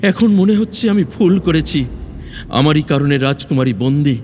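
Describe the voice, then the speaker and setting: A man playing an earnest, resolute young fairy-tale prince in an audio drama, speaking formally with deliberate, dramatic phrasing. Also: a little high, expressive, darkish tone, close to an everyday voice. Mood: remorseful, determined. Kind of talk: Bengali audio drama acting. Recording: long reverb, faint background noise.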